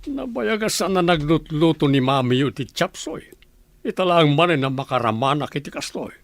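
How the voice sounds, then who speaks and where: A man's voice: a little high, lively intonation, moderate pace, no echo. A man acting a mild, hard-working father in an Ilocano radio comedy drama. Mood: appreciative.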